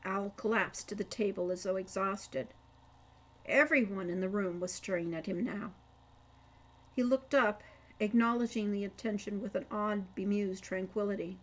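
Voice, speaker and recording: A woman's voice: mid-range voice, normal speed. A woman reading a scripted story aloud as narrator, with a dramatic storytelling delivery. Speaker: author reading own audiobook chapter.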